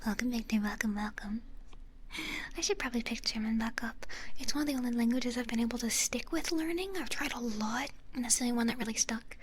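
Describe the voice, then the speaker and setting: A young woman from the United States, a VTuber whispering through an ASMR livestream, greeting her chat softly with little kiss sounds. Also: fairly high pitch, fairly quick, slight background noise. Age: young adult. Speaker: VTuber streamer.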